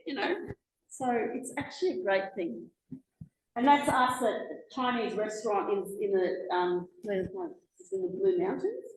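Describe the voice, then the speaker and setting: A woman in her forties with an Australian accent, giving a chatty, lively public talk as a guest speaker, full of asides and restarts. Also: a little high. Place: lecture room, indoors. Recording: echoey lecture room, faint noise.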